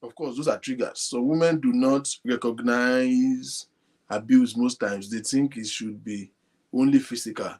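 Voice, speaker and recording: Male show host, husky and quiet, talks straight to viewers at home. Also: mid-range voice, unhurried. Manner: speaks softly, animated, addresses viewers directly. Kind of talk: casual talk to a live audience. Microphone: phone linked to a computer.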